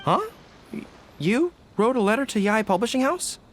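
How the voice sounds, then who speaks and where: A man's voice: high-pitched, sing-song, normal speed, slight background noise. A man voicing a friendly, curious young islander in an anime game. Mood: surprised.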